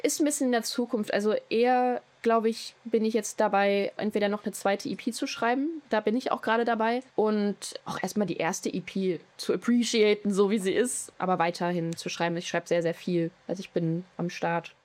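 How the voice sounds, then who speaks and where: A woman's voice: medium pitch, steady tone, normal speed, hardly any pauses, darkish tone, no room sound. A young woman in her twenties from Germany, chatting loosely as a musician guest, with lots of fillers and slang. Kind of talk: casual interview chat.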